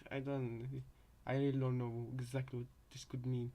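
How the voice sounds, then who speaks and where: A man with a medium-pitched voice gives casual commentary. He rambles and hesitates, working out his thoughts aloud as he reacts to game voice lines. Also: level tone, moderate pace, dark tone.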